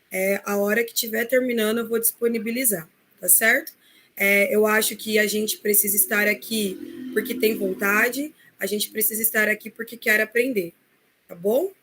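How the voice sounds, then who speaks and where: A woman's voice: on the high side, fairly bright tone. A Brazilian woman moderating a live online panel informally, a little hesitant, repeating words and often checking in with short tags.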